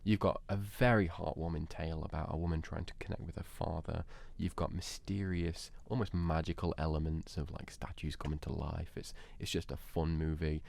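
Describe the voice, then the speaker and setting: Deep-voiced man in casual film debate. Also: steady tone, fairly quick, creaky, few pauses, a little mellow. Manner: laughs often, informal, swears. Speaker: podcast co-host.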